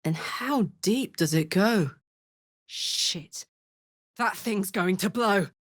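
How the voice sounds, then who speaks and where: Brash warm-hearted tiefling barbarian gal for a game, earthy and blunt, takes her time, super dry sound. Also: medium pitch, slow pace, dry recording. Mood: wary, wry. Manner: blunt, earthy, informal, expressive. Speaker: video game voice actor. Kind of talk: video game character voice lines.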